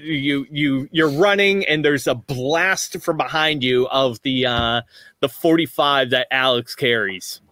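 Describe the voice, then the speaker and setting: A man's voice: medium pitch, a little slow, sharp tone, no echo. A man narrating as game master in a tabletop roleplaying game, rambling with frequent restarts. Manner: rambling, many restarts, laughs often.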